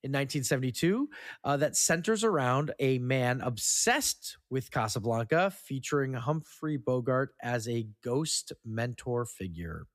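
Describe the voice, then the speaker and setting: A man with a medium-pitched voice talks as a podcast host in a very dry recording. He runs through film trivia casually, with halting delivery and the odd stumble over a word. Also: lively intonation, dry recording. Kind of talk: casual film trivia rundown among co-hosts.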